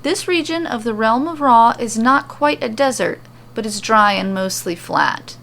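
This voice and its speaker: A woman reads fantasy fiction as its host. Her voice sits somewhat high and breaks often into creaky fry. The home recording carries a little background noise. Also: animated, a little slow, a bit bright. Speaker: host and story reader. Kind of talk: narrating homemade fantasy fiction.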